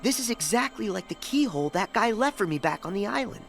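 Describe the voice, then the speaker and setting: Teenage boy hero, eager, brash, animated pitch, faint game music. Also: quick pace, vocal fry, exaggerated voice. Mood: determined. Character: Sora, plucky upbeat teenage boy hero.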